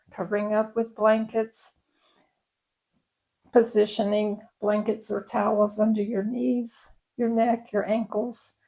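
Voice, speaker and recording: A woman leads a guided relaxation as a yoga instructor. Her voice sits a little high but is mellow and relaxed. She speaks very slowly and gently, soothing the listener along. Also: gravelly, breathy edge, rough recording. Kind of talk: guided meditation.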